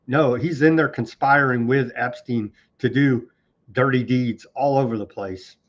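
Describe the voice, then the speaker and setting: A man's voice: medium pitch, unhurried, dull audio. A man hosting a live online news commentary show, sarcastic and scornful as he rants through the headlines. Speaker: political commentary host.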